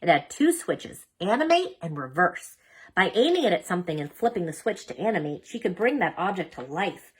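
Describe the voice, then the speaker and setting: A woman reading a children's storybook aloud as an expressive narrator. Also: fairly low pitch, brisk, breathy edge. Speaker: storybook reader.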